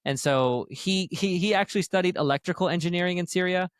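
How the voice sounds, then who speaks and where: Male podcast guest, Arizona-raised dental business owner, higher-pitched, rambling casual delivery, dry studio sound. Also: quick pace, clear, resonant tone, no echo. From Tucson, Arizona, USA. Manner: meandering, talks in long loose chains of thought. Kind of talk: casual conversation.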